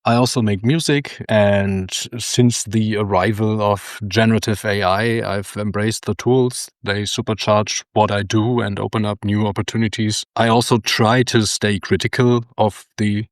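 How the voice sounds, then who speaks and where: A man introducing himself on a podcast video call as an aspiring game developer, speaking in a measured, thoughtful way. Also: lowish voice, fairly even intonation, no echo, studio quality. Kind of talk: casual podcast chat.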